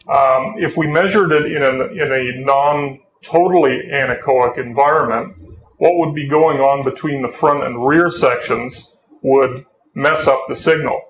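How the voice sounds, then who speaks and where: A man in his thirties giving a measured, technical walkthrough of a speaker testing area in a factory. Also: medium pitch, a bit bright, slight background noise, rough recording. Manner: measured, technical, repeats words when restarting. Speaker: company spokesman giving a factory tour. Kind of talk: explanatory walkthrough. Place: indoor factory test area and equipment lab.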